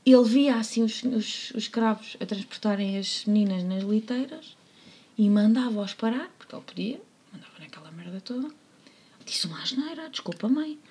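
A woman with a European Portuguese accent, co-hosting a podcast and telling a history story in a playful, jokey way, slipping into quiet asides. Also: on the high side, animated, moderate pace, breathy edge. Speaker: podcast co-host. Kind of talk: casual storytelling about history.

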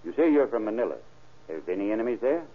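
An actor playing a brisk, probing male sheriff in a businesslike old-time radio crime drama, clipped in his questioning. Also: fairly low pitch, big pitch swings. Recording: muffled, band-limited vintage radio recording.

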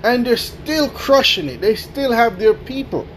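Man, podcast host, high-pitched, slow pace, emphatic motivational monologue, repeats himself. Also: very animated, fairly bright tone, a little hiss or hum, rough recording. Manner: emphatic, repeats key phrases, keeps asking "right?".

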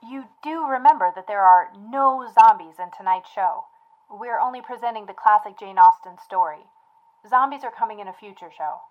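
A woman playing an exasperated, no-nonsense co-host in scripted comic radio banter, with a dry, brisk delivery. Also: medium pitch, narrow, tinny audio, close to an everyday voice. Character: exasperated, no-nonsense female co-host keeping order.

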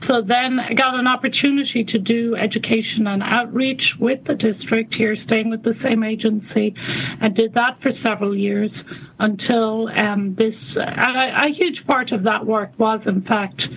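A woman in her fifties with an Irish accent, a guest explaining her work in a measured way with frequent ums. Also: fairly high pitch, steady tone. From Ireland. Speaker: guest, water conservation coordinator. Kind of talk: remote interview conversation. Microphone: phone call. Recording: tinny phone line, faint noise.

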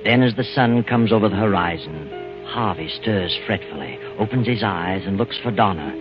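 An actor playing a sinister, mocking, all-knowing narrator in a 1940s radio suspense play. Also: male voice, fairly low pitch, expressive, normal speed, music in the background, lightly acted. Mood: ominous. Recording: muffled old radio recording.

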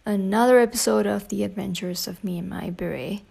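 Hoarse grieving woman, gravelly and halting. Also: mid-range voice. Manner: halting, soft-spoken, many pauses and fillers. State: hoarse, scratchy voice; grieving, holding back tears. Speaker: podcast host. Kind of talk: unscripted personal podcast talk.

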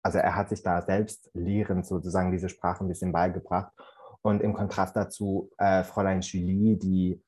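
A man's voice: sits low, level tone, moderate pace, darkish tone, breathy edge. A young man from Central Switzerland, co-hosting a student podcast and explaining things with fillers and restarts. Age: young adult. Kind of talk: casual academic discussion.

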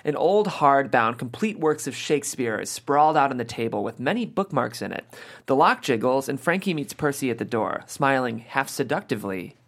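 Casting: male script narrator, lively varied intonation, medium pitch. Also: normal speed. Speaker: narrator reading stage directions. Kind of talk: scripted narration at a table read.

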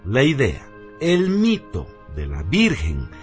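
A man's voice: medium pitch, wildly swooping pitch, slow. A man reading a scripted radio narration in a solemn, emphatic, declamatory manner. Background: background music.

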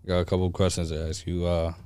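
Low-voiced man, casual and dry. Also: flat delivery, very fast, dark tone, clear, resonant tone, no room sound. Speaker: podcast host. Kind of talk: casual interview chat with slang.

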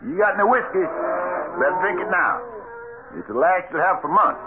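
An actor playing a blunt, commanding cattle-drive boss who talks in folksy cowboy orders in an old-time radio western. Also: male voice, sits high, big pitch swings, moderate pace. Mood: stern. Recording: dull, noisy old radio recording.

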